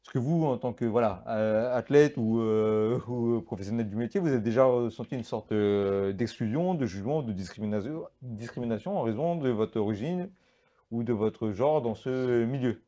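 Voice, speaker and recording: A man hosting a talk show, asking long, halting questions full of restarts. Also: mid-range voice, dark tone, muffled recording.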